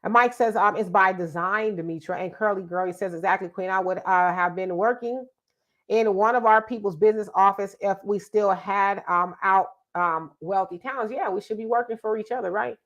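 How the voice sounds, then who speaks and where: A woman's voice: medium pitch, normal speed, fairly bright tone. A middle-aged woman, sounding congested, hosting a casual live show and reading out viewer comments in an emphatic, chatty way that repeats itself. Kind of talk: casual live-stream talk.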